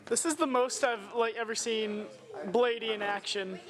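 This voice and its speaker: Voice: medium pitch, normal speed, a loud crowd. A young interviewer asking casual on-the-spot questions. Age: young adult. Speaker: interviewer behind camera. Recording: echoey room sound.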